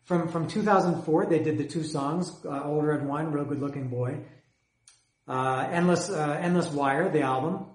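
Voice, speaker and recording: A man's voice: a little high, normal speed, reverberant, rough recording. Rambling man with a fading voice. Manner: rambling, hesitant, repeats words. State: losing his voice. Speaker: music collector reviewing albums. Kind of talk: casual solo talk about his collection.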